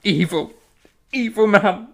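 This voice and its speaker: A woman's voice: mid-range voice, slow pace, gravelly. A woman voicing a remorseful, hot-tempered young male composer who stammers, pleads and lashes out at himself in a stage-play style. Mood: self-loathing. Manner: stammering, pleading, breaks into frantic self-scolding.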